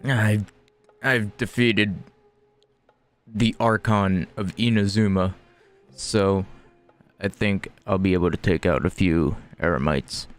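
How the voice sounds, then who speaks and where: A man's voice: sits low, fairly even intonation, slow pace. A man giving casual gameplay commentary as he streams. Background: faint game music.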